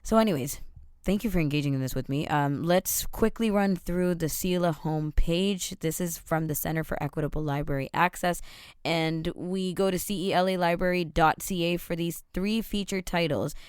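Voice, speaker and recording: A woman hosting a podcast, chatting in a casual, upbeat way with quick asides; her first language is Tamil. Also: lowish voice, darkish tone, smooth, dry recording, studio quality. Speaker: podcast host.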